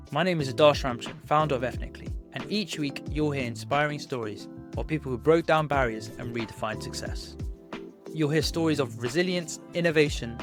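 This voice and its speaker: A man hosting a podcast interview, upbeat and chatty, often saying "yeah, no". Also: mid-range voice. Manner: upbeat, many fillers like "yeah, no". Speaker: podcast host.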